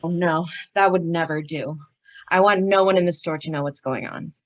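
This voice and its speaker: A lowish voice from an actress plays a worried male executive in a 1940s radio drama. The delivery is formal and proper, with a flustered, anxious edge. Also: moderate pace, close to an everyday voice. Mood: worried, secretive. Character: worried, publicity-conscious male business executive. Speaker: actor in an old-time radio detective play.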